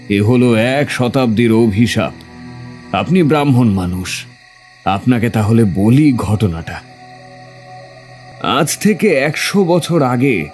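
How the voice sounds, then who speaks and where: A man acts out the doomed heir of a cursed landlord family in a horror audio drama. He speaks very slowly and gravely, haunted and afraid, with soft music running underneath. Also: mid-range voice.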